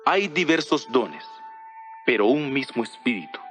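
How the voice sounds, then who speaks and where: A man playing an earnest apostle instructing his church in a solemn, measured, rhetorical dramatized audio Bible reading. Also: mid-range voice, big pitch swings, fairly bright tone. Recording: voice over background music. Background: music.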